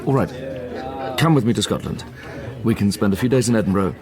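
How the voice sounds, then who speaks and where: A man's voice: on the low side, lively intonation, a little fast, crowd noise, lightly acted. A male actor playing a brooding, obsessive scientist in a gothic audio drama, formal and intense. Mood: curt, restless.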